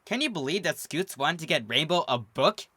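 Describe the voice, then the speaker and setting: A fan reader voicing a young female unicorn filly who is flustered and defensive, speaking high and stammering. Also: animated, normal speed, pushed and bright, slightly rough, no echo.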